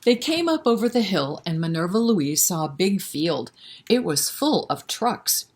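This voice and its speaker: Lady doing library storytime for little kids, really unhurried, voice a bit on the low side, expressive and encouraging, asks questions. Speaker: librarian leading story time for children. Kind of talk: animated picture-book read-aloud with asides to children.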